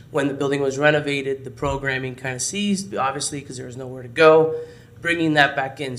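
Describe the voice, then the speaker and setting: A man, a parks department director, explains programs at a city meeting while hoarse and losing his voice. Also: medium pitch. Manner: explanatory, steady briefing. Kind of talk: briefing at a public meeting. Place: city council chamber.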